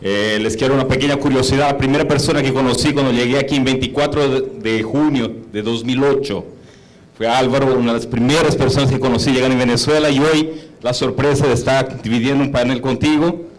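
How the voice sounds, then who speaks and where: A man's voice: medium pitch, steady tone, fairly quick, rough recording. A middle-aged man from Brazil, a native Portuguese speaker with a Brazilian accent, giving an informal conference talk as a panel speaker. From São Paulo, Brazil. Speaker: hotel executive, panel speaker.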